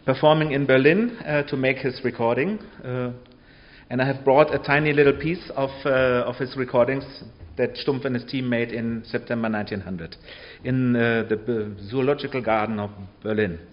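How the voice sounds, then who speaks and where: A man giving an informal public lecture in a halting way, often pausing and checking in with short tags. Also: mid-range voice, highs cut off. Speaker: lecturer on sound archive history. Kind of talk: public talk with hesitant, informal delivery.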